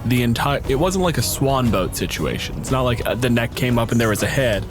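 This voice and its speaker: A man's voice: medium pitch, expressive, fairly quick, music in the background. A man hosting a casual improvised interview, with a wry, probing way of asking questions. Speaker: podcast host and interviewer.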